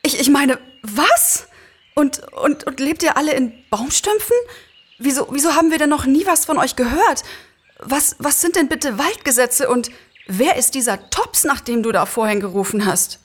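A woman's voice: high-pitched, lively intonation, moderate pace, voice pushed up. A woman voicing a flustered, curious young girl in a story reading, stammering out her questions.

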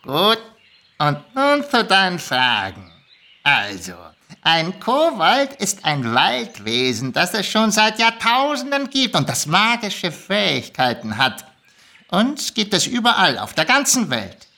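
An actor voicing a sly, easily irritated male kobold who haggles nonchalantly, in a lively storybook reading. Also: medium pitch, takes it slowly, exaggerated voice. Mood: sly, lecturing. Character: grumpy, sly male kobold dealmaker, ancient magical creature. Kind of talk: lively audiobook character reading.

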